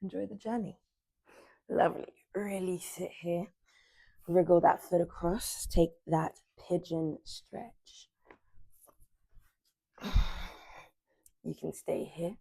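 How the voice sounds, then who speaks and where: A woman in her twenties guiding a morning stretching class, cueing softly and unhurriedly as she moves. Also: lowish voice, steady tone, slow, gravelly, pauses often, slightly rough, dry recording. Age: 20s. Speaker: yoga instructor. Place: sunlit living room with large windows.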